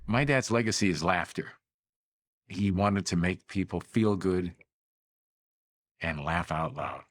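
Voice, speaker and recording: Deep-voiced man in dry interview. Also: takes it slowly, dry recording. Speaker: interviewee remembering his father. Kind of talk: heartfelt documentary interview.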